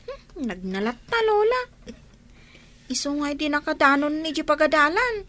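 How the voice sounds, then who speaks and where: An earnest little Ilocano child who loves to tattle, from a village comedy radio play. The voice is extremely high and childlike. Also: female voice, sing-song, normal speed, bright, forceful tone, heavily acted. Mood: tattling.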